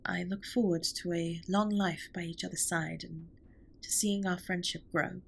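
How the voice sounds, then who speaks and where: A woman's voice: fairly low pitch, steady tone, moderate pace, vocal fry, slight background noise. A woman playing a formal, reserved but tender alien spaceship captain in a scripted comfort audio roleplay, speaking with precise, stilted politeness. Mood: sincere, tender.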